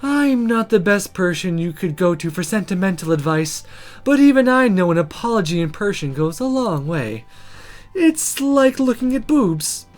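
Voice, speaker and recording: A man's voice: high-pitched, big pitch swings, moderate pace, a little mellow, soft background music. A man voicing a lewd, teasing, blunt female magical companion in a visual novel. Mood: playful advice. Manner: playful, blunt, suggestive.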